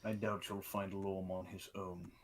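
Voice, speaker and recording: A man's voice: deep voice, flat delivery, measured pace, a little hiss or hum. A man speaking casually and in character as a player in an online tabletop game.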